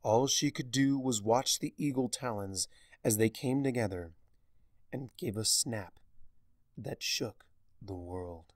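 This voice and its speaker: A man narrating a story in a dramatic, measured, suspenseful way. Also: medium pitch, no echo, studio quality. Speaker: fan fiction narrator.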